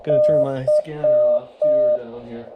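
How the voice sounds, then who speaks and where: A man's voice: medium pitch, normal speed, narrow, tinny audio. Canadian man narrating a ham radio contact. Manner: relaxed, thinking aloud with fillers. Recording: loud radio noise and sidetone behind.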